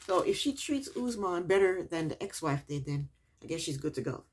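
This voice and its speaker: Woman, low husky voice, sarcastic, chatty, casual TV recap. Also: sing-song, moderate pace, darkish tone. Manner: sarcastic, chatty, repeats punchlines. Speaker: reality TV recap vlogger. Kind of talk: casual episode review.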